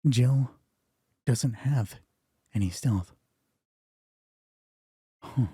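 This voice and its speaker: A man narrating a solo tabletop roleplay as game master, improvising and thinking aloud in a halting way while reading out dice. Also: mid-range voice, mellow, slightly rough, no room sound, studio quality.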